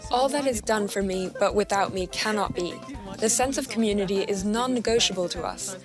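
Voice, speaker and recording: A woman's voice: medium pitch, a little fast. A woman reading a translated voice-over for a news report. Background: music.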